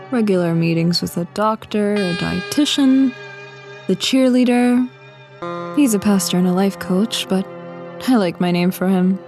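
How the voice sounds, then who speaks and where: Slow, reflective woman narrating. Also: medium pitch. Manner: reflective and wistful. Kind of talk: scripted first-person narration. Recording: music bed underneath.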